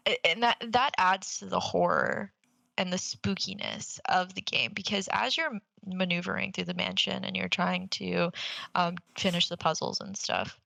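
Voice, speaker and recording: A woman co-hosting a gaming podcast, chatting casually in an animated, halting way and often correcting herself. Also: lowish voice, creaky, dry recording. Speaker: podcast co-host.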